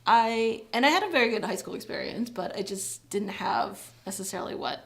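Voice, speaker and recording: A woman's voice: medium pitch, animated, fairly quick, gravelly, a crowd in the background. Chatty woman, laughing often. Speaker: guest writer. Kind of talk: casual podcast chat.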